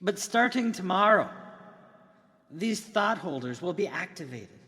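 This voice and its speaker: A man playing an excited, theatrical keynote presenter from the future in an amateur radio drama, speaking emphatically with dramatic pauses. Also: high voice, full character voice. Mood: explaining, enthusiastic. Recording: long hall reverb.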